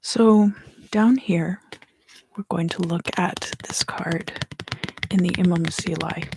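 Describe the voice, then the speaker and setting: A woman giving a soft-spoken, partly whispered ASMR tarot reading in a breathy, gentle, unhurried way, pausing between short phrases close to the microphone. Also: on the low side, no echo. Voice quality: breathy, hushed. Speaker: tarot reader hosting an ASMR video.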